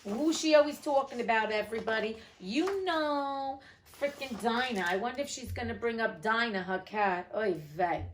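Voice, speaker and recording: A woman's voice: fairly high pitch, expressive, normal speed, few pauses. A woman narrating a storybook theatrically, with casual, irreverent asides. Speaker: host reading a classic novel aloud.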